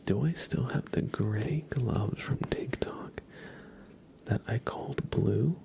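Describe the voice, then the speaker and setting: Whispering, creaky-voiced man, very unhurried. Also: mid-range voice. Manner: unhurried, hushed, pausing often. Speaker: ASMR livestream host. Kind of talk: whispered ASMR, casual tarot card reading and chat with viewers.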